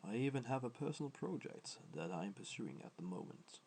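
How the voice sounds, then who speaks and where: A man voicing a gentle, ancient god who speaks softly, tenderly and with measured hesitation, in an ASMR comfort roleplay. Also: animated, slight background noise. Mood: modest, pleased. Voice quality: low, soft, creaky, breathy at times.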